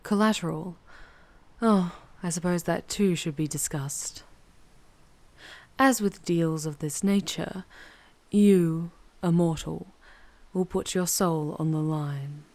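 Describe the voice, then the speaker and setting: A woman plays an ancient, proud demoness with a low, velvety dark voice. She speaks in formal, deliberate phrases, charming and teasing yet commanding. It is an intimate, very dry ASMR roleplay recording. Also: dry recording. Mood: bargaining, solemn. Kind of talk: ASMR roleplay, scripted audio drama.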